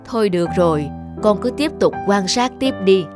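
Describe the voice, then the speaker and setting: A woman voicing a large, patient god of love in a cartoon, a wise mentor who instructs in measured words. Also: medium pitch, expressive, over music, voice pushed down, close to an everyday voice. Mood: calm, wise. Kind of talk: cartoon dubbing.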